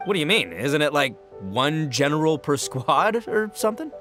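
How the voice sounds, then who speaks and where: Young male game gang boss: boisterous, slangy, lovably dim, very lively intonation. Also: a little high, moderate pace, soft background music. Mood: upbeat, oblivious. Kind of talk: video game cutscene acting.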